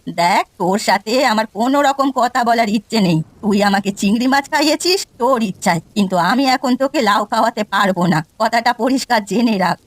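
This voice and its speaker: A woman's voice: a little high, animated, fast. A woman voicing a bitter, suspicious old village granny in a cartoon folk tale, scolding and lamenting. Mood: angry, scornful. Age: older. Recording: music behind the voice. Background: music.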